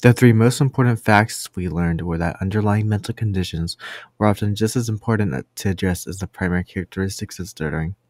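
A man's voice: on the low side, a little mellow, no echo. A young man reading his prepared part of a college class presentation. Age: young adult. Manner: reads a prepared script. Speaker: student presenter.

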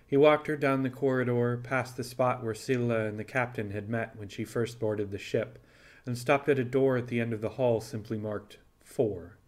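A male audiobook narrator reads a science fiction story. His voice sits at a medium pitch, in a scripted storytelling style. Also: steady tone, normal speed.

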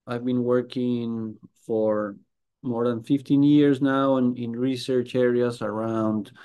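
A man's voice: mid-range voice, steady tone, slow, warm tone, clean tone. A middle-aged man with a Peruvian Spanish accent talking about his work in an interview. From Peru. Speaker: health researcher and journalist interviewee. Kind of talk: interview talk about career. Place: book-lined room, video call.

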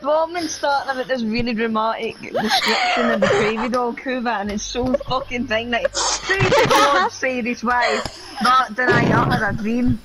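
A woman with a high, lively voice chats casually and reacts bluntly, swearing often. Her pitch swings widely as she talks. Loud background noise runs underneath her. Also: moderate pace. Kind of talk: casual chat over a game.

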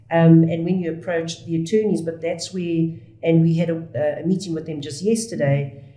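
Earnest South African woman, low and mellow. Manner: earnest, restarts often, speeds up and slows down. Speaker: guest, whistleblower. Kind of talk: candid conversational interview. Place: small studio room. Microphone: studio desk mic. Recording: roomy studio echo.

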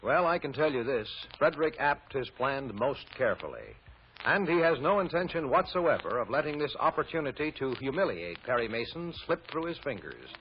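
A man's voice: medium pitch, big pitch swings, a little fast. An American man narrating a radio serial in a formal, dramatic announcer's style. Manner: formal, dramatic teasing delivery. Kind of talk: old-time radio scripted narration. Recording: muffled vintage radio recording, faint noise.

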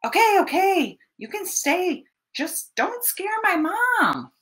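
A woman plays a flustered little kid from a storybook, pitched very high. The delivery swoops around and is bright and exclamatory, with a grudging, giving-in temper. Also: very animated, slow, heavily acted. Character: flustered small child shooing animals off the porch. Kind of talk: animated children's picture-book read-aloud.

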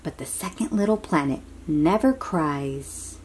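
A woman reads a picture book to little children in an unhurried, slightly breathy voice. Her pitch swings widely as she exclaims, stretches words and drops into hushed asides, often putting simple questions to her listeners. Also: animated, poor audio. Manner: talks directly to young listeners with simple questions. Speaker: children's read-aloud host. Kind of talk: animated picture-book reading to young children.

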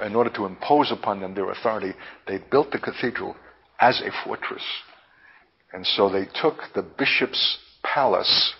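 Guy preacher, husky kinda low voice, slow emphatic teaching, dull lo-fi sermon tape. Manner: deliberate, emphatic, halting with repeats, lofty vocabulary. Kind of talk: impassioned prophetic sermon, teaching.

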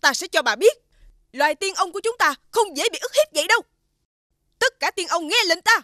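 A woman playing a noble, protective fairy queen in an animated fairy tale, earnest and dignified. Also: very high voice, fairly quick, no echo, clean studio sound, exaggerated voice. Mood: indignant.

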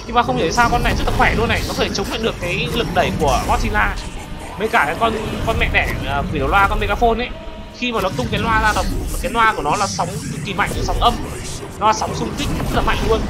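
Exclamatory gaming commentator over music. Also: medium pitch. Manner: exclamatory, addressing viewers as friends. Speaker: gaming video host. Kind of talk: excited gameplay commentary. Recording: music behind the voice. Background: background music.

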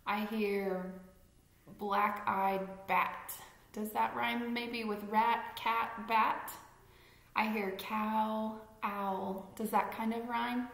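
A woman in her thirties reading a children's picture book aloud expressively, deliberately stressing rhymes and putting questions to young listeners. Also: mid-range voice, fairly even intonation, creaky, a bit bright. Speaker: read-aloud storyteller. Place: indoor room at home with fireplace mantel. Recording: echoey room.

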